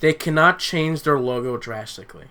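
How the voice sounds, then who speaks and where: A man co-hosting a casual podcast chat, thinking out loud as he explains. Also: fairly high pitch, moderate pace, clean tone.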